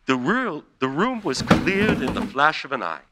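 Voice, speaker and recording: A man's voice: a little high, sing-song, normal speed, heavy background noise. A man playing the narrator of a Victorian Christmas radio play, telling it as scripted storytelling. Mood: descriptive.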